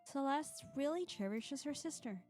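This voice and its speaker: Higher-pitched playful woman streamer over music. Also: animated, normal speed, a little mellow. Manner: playful, self-deprecating. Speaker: streamer and host reading a visual novel aloud. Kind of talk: live stream chat mixed with expressive narration. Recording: music playing behind the voice. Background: background music.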